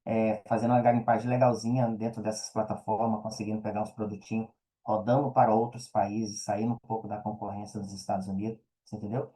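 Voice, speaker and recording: A man's voice: fairly low pitch, level tone, fast, dark tone, low-quality recording. A man with a Brazilian accent in a casual podcast chat, talking in a slangy, conversational way and repeating words for emphasis.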